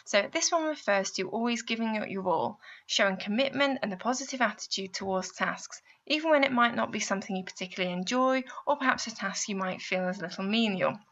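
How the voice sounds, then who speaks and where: A woman's voice: medium pitch, fairly bright tone, highs cut off. A woman reads a scripted instructional voice-over as a placement adviser, explaining step by step and putting guiding questions to the listener. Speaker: university placement adviser narrating a guidance video.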